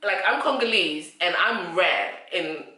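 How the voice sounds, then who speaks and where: A British woman co-hosting a casual vlog, with a bright, forward voice on the lower side. She chats and tells stories freely. The sound is very roomy. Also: expressive, a little slow. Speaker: vlog co-host. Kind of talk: casual chat. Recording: roomy echo, distant microphone.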